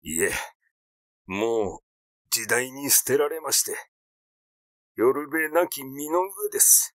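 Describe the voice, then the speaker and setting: A man's voice: medium pitch, lively intonation, slow pace, a bit bright, no room sound, clean studio sound. A man voicing an aging master swordsman in an audiobook, vain yet meekly pleading, with stiffly polite, old-fashioned speech. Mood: humble pleading. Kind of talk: audiobook character reading.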